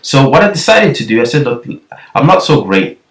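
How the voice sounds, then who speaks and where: A man's voice: medium pitch, very animated, a little fast, fairly bright tone. A man with a thin voice giving a casual, rambling tutorial on nasheed production, often restarting his sentences. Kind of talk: casual screen-recorded tutorial. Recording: echoey room, far from the mic.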